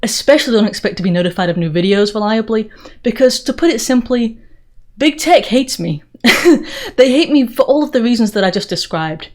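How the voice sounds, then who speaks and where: A woman's voice: a little high, animated, fairly quick, a little mellow. A woman from England with an accent mixing Northern English and Southern American, speaking earnestly and emphatically straight to viewers as an opinionated commentary host. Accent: mix of Northern English and Southern US. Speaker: political commentary video host. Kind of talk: direct-to-viewer channel introduction monologue.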